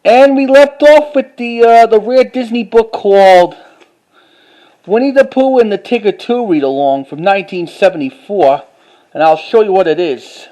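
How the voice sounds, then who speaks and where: A man giving a casual show-and-tell as a collector, talking informally in a halting, repetitive way. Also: high voice, mellow, low-quality recording. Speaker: collector showing items to camera.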